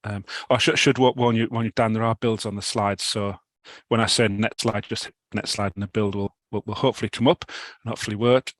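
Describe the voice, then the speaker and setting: A middle-aged British man with a cold, giving a talk over a video call, halting with many restarts. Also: fairly low pitch, steady tone. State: has a cold, clears throat. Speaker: guest speaker. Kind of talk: online talk presentation.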